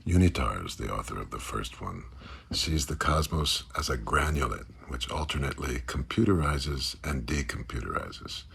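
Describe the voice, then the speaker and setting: A man's voice: very deep voice, lively intonation, normal speed, creaky, fairly bright tone, breathy edge. A man giving a scripted reading of a mock-scholarly literary essay. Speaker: reader of a literary text. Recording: faint background noise.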